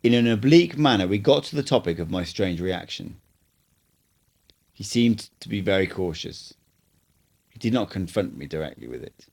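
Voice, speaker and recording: A man reads a first-person audiobook in a steady, earnest narration. His voice sits somewhat low. The delivery is that of an amateur reader, not a polished professional. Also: normal speed, vocal fry, pauses often.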